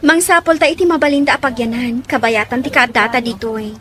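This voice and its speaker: A woman's voice: a little high, fairly even intonation, brisk, a bit bright, lightly acted. A woman playing a meek, dutiful young provincial woman in an audio drama, obedient and hesitant. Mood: agreeable.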